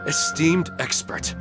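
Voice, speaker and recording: A man playing a gentle, courteous and slightly hesitant widower in a video game. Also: fairly high pitch. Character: polite, gentle widower missing his late wife.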